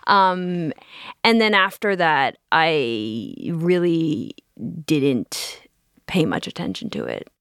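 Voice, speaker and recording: A woman's voice: low voice, expressive, takes it slowly, a bit bright, smooth, no room sound. A woman podcast host in a studio, speaking reflectively with some hesitation. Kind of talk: casual studio chat. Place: recording studio. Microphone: studio microphone.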